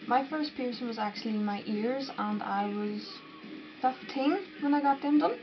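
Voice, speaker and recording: A woman's voice: a little high, moderate pace, music in the background. A young woman from Northern Ireland with a Northern Irish accent, chatting casually to camera and answering viewer questions. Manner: chatty, rambling, with fillers and restarts. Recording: dull lo-fi sound with noticeable background noise.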